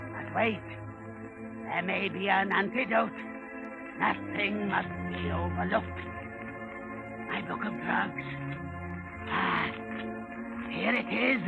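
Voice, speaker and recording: A woman's voice: medium pitch, wildly swooping pitch, drawn-out words, vocal fry, long pauses, loud music behind the voice, exaggerated voice, low-quality recording. A woman playing a gloating, cruel old witch queen in a 1930s radio drama, theatrical and cackling. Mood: scheming. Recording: vintage 1930s radio broadcast.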